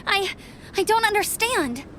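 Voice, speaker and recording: A woman voicing a spirited, caring young woman adventurer in a video game, earnest and expressive. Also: very high-pitched, a little slow, slight background noise, full character voice. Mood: confused.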